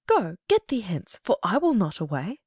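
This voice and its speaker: Woman playing passionate young noblewoman, lively swooping pitch, dry close recording, emotional Shakespearean drama. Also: moderate pace, slightly rough, dry recording. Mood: grief-stricken. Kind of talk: theatrical Shakespearean audiobook acting.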